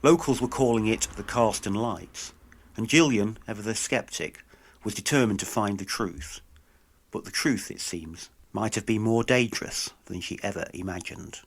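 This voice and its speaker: A man's voice: lowish voice, normal speed. A man narrating a scripted true-crime podcast as investigator, solemn and dramatic.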